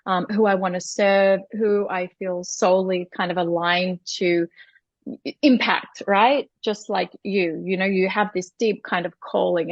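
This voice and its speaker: A woman's voice: lowish voice, dry recording. Aussie woman in her 40s, lots of ums and little laughs, dull dry call audio. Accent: Australian. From Australia. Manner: hesitant, with many fillers and soft laughs. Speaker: podcast guest, coach. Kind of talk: casual interview answers.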